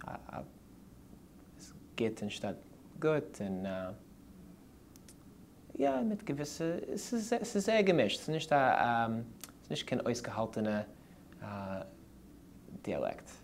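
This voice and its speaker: A man with a Ukrainian Yiddish accent, speaking in casual conversation as an interviewee, halting and searching for words as he goes. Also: medium pitch, sing-song, creaky, a little hiss or hum.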